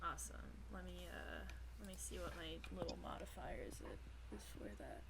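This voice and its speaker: A woman's voice: on the low side, normal speed, creaky, very noisy. A woman playing a tabletop game, chatting casually as a player at the table.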